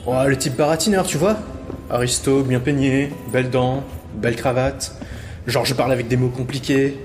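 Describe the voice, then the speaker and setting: A man's voice: mid-range voice, fairly even intonation, moderate pace, soft background music, lightly acted. An actor playing a glib, mocking male thief in an audio drama, with a casual, teasing, slangy manner. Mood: offhand, chatty. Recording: reverberant space, faint background ambience.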